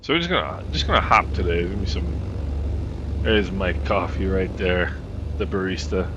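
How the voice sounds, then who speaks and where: A man streaming a flight simulator live, relaxed and chatty, calling out checklist items and greeting viewers in chat. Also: low voice, moderate pace. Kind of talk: live gameplay commentary. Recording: dull lo-fi audio with steady background noise.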